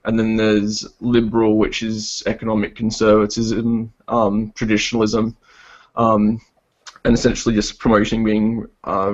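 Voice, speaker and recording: Hesitant low-voiced man. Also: highs cut off. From Australia. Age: 20s. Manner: hesitant, many ums and restarts. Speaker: guest. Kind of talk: casual podcast chat. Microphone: online call.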